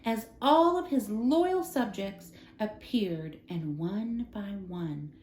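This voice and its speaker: A woman reading a children's story aloud as a storyteller, in an expressive, dramatic style. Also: mid-range voice, slow. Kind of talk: scripted children's storybook narration. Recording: roomy, echoing room sound.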